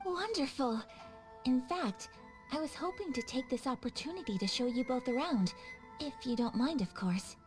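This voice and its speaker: A woman playing a gracious young noblewoman in a video game, speaking with formal, measured courtesy and a dutiful air. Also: high-pitched, animated, music in the background. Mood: polite, gracious.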